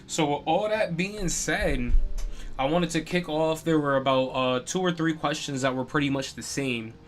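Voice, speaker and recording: A man's voice: fairly high pitch, moderate pace, soft background music. A young man hosting a live stream, talking in a casual, chatty way with lots of "you know" fillers. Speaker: streamer and podcast host.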